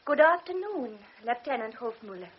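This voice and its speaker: A high, breathy young baroness, proud and highly strung, darting between shy apologies and sudden orders. It sounds like a dull old radio play recording. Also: female voice, big pitch swings, normal speed. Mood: polite. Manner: impulsive, swings between shy apology and sharp commands. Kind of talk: radio drama acting.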